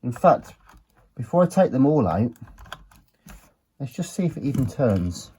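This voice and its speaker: A man's voice: medium pitch, very animated, measured pace, dark tone, slightly rough. A British man hosting a hands-on gadget video, chatting casually and thinking aloud as he goes. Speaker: host of a retro electronics teardown video. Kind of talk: casual hands-on commentary while examining a gadget.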